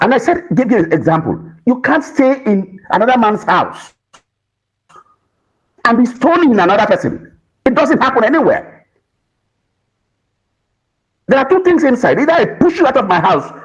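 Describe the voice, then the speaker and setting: A man's voice: extremely high pitch, breathy edge, muffled recording. A Nigerian man arguing as a guest on a political panel, emphatic and repetitive, with rhetorical questions.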